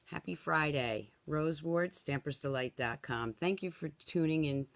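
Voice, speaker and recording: A woman in her sixties, slightly hoarse from a cold, leading a live craft tutorial in a chatty way and often checking in with "okay?". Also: sits low, measured pace, no room sound. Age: 60s. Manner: chatty, tags instructions with "okay?". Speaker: stamping craft demonstrator.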